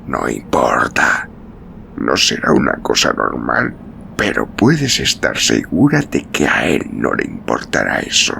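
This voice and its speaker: A man playing a weary, hardened adventurer with a deep, rough voice, gruff but kindly, in an audiobook story. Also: wildly swooping pitch, unhurried, sharp tone, a little hiss or hum, exaggerated voice. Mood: gentle reassurance.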